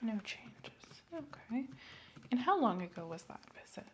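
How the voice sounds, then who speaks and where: A soft-spoken woman playing a doctor in an ASMR medical roleplay, asking methodical questions in a reassuring, apologetic way. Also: fairly high pitch, sing-song, unhurried. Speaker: ASMR host playing a doctor giving an eye exam.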